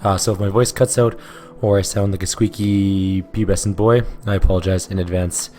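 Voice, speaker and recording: A man recovering from bronchitis with a hoarse voice, casually hosting a game playthrough. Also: sits low, moderate pace. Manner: relaxed, chatty. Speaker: let's play host. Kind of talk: casual gameplay commentary and reading.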